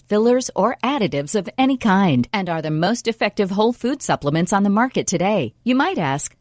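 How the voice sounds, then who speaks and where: A woman's voice: medium pitch, a little mellow, no echo, clean studio sound. A woman delivering scripted ad narration in a polished, explanatory manner, as a commercial announcer.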